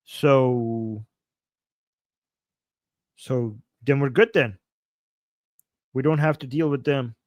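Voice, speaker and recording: A man's voice: mid-range voice, very slow, pauses often, no room sound. A man in his forties hosting a casual livestream, reading viewer comments aloud and reacting with playful, chuckling asides and frequent repetitions. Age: 40s. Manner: playful, chuckling, repeats himself while reacting to chat. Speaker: livestream host.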